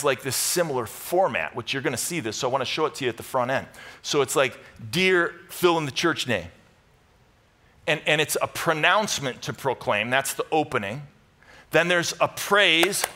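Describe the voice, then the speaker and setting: A man's voice: on the high side, bright, forceful tone. A middle-aged American man preaching to a congregation as pastor, teaching in punchy, conversational short phrases with repeated emphasis. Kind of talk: sermon to a live congregation with Bible teaching. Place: church stage, indoors.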